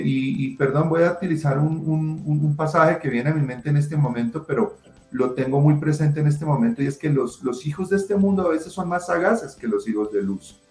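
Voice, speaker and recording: A middle-aged writer answering interview questions passionately, with many restarts. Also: male voice, fairly high pitch, a little mellow. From Colombia. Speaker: writer and guest. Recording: roomy echo.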